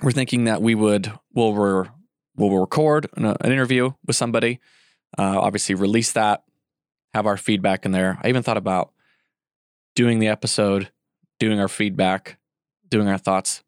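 Congested podcast host, low dry voice. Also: male voice, moderate pace, pauses often, smooth, dry recording. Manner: rambling, many fillers and restarts. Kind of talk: casual podcast chat.

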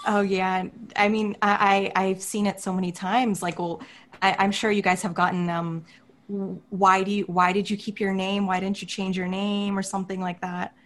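A woman's voice: medium pitch, flat delivery, normal speed, fairly bright tone. Chatty woman, rambly, says like a lot. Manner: rambling, many fillers like "like" and "you know". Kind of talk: casual group chat.

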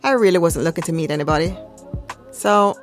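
A woman's voice: on the low side, brisk, faint music behind, natural voice. An actress playing Sia, a guarded young woman who answers in short, dry lines in a radio drama. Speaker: Sia, a reserved passenger.

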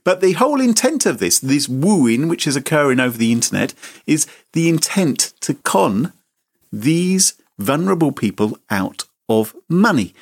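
A man's voice: big pitch swings, normal speed, husky. A man hosting a chat show, telling a comic story with theatrical mock outrage. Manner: mock-indignant, theatrical, sweeping pitch. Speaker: podcast host.

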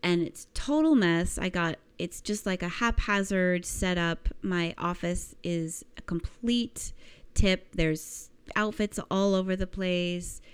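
A woman with a slightly low, smooth voice that has a small croak in it. She coaches at a very slow, deliberate pace, leaning on repetition and rhetorical questions for emphasis. The delivery is that of a motivational talk. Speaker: life coach giving a lesson.

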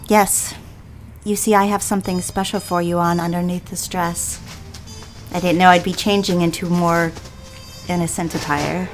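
Bir kadının oynadığı cilveli, tatlı genç anne, arkada hafif müzik. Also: female voice, on the low side, level tone, moderate pace, faint music behind, lightly acted. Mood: coy, seductive. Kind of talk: audio drama acting.

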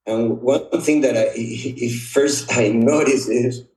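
A middle-aged or older man from Honduras, a native Spanish speaker with a Honduran accent, telling personal stories in a halting, reflective way. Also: mid-range voice, steady tone, darkish tone. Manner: halting, reflective, often repeats "you know". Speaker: podcast guest. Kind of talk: personal storytelling in conversation. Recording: roomy echo, distant microphone.

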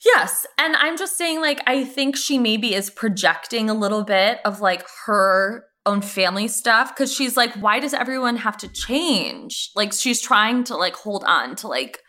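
A woman's voice: a little high, lively intonation, a little slow, few pauses, sharp tone. A woman co-hosting a podcast, talking informally and conversationally, with plenty of "like" and "you know". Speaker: podcast co-host. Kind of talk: casual TV recap discussion.

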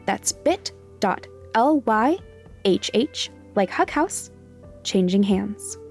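With music behind her, a woman reads scripted material as a podcast host. Her voice sits at a medium pitch and she speaks as an announcer to listeners. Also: lively intonation, slow, darkish tone.

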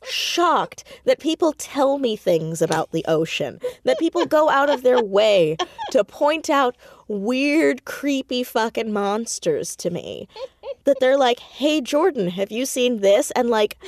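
A woman chatting casually as an interview guest, with an animated, emphatic, jokey and sometimes sweary way of talking. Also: on the high side, takes it slowly. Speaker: podcast guest, audio drama creator and writer.

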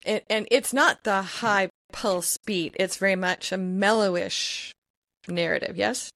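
A woman's voice: mid-range voice, a little slow, fairly bright tone, no room sound. A woman hosting an interview, asking attentive, probing questions in a conversational way. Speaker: podcast host.

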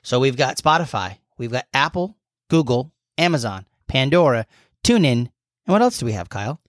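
A man's voice: medium pitch, very animated, moderate pace, dry recording, clean studio sound. A man co-hosting a casual podcast, chatty and teasing, laughing easily and rambling with fillers. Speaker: podcast co-host.

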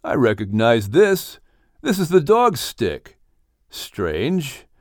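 A man's voice: medium pitch, huge pitch swings, slow, dry recording, clean studio sound, full character voice. A man voicing a puzzled young son speaking to his father in a storybook. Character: puzzled young adult son, plain-spoken.

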